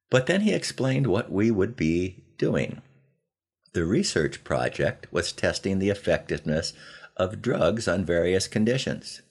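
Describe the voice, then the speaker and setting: A man's voice: sits low, creaky, slightly rough. An American man in his seventies hosting a podcast, telling personal stories from a script in a folksy, conversational way. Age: 70s. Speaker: podcast host.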